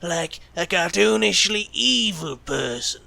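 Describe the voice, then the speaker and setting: A man's voice: sits high, animated, takes it slowly, creaky, bright, forceful tone, dry recording, exaggerated voice. A man playing a flippant, callous secret agent with a sardonic, teasing manner in an audio roleplay. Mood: smug, sarcastic. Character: callous, sarcastic male secret agent who jokes about killing. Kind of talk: ASMR roleplay acting.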